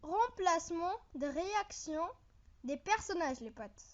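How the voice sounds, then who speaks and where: A very young-sounding host narrating an informal online countdown, reading haltingly phrase by phrase with casual asides to viewers. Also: extremely high pitch, lively intonation, lo-fi sound, slight background noise. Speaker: video host.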